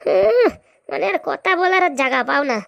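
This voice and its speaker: Shrill-high nagging cartoon village wife. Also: female voice, lively intonation, moderate pace, vocal fry, a bit bright, no room sound, exaggerated voice. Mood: scolding. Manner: nagging, colloquial. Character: scolding older village mother and wife, cartoon. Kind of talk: comic cartoon dialogue.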